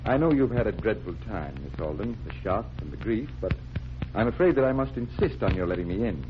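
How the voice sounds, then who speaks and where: Casting: man playing a commanding, determined detective, very animated intonation, vintage radio drama, dull noisy recording. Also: on the low side, fairly quick, close to an everyday voice. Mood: firm, sympathetic. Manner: insistent, authoritative. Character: brusque, determined adult male magician-detective. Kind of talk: 1940s radio drama acting.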